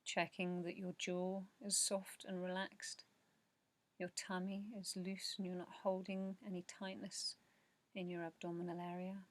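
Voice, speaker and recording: A woman with a low, very even voice guides a relaxation practice. She speaks softly and slowly, leaving long pauses and letting phrases trail into breath. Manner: slow, soothing, measured, with long pauses. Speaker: meditation guide. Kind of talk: guided meditation narration, yoga nidra.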